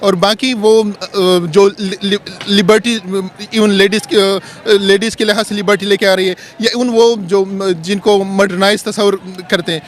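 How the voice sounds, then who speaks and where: High-voiced man explaining haltingly. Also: level tone. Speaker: member of the public being interviewed. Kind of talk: street interview, explaining an opinion.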